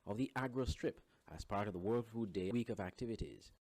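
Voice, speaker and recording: Low-voiced Caribbean man reading news. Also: fairly quick, a little mellow, a little hiss or hum. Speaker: news reporter. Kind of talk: scripted news narration.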